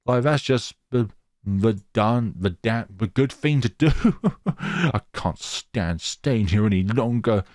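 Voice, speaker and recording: Guy reading a horror game out loud, deep-ish voice, really slow, dramatic pauses. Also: animated, dry recording, studio quality. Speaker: streamer playing a horror visual novel. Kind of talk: reading game narration aloud dramatically, with casual asides.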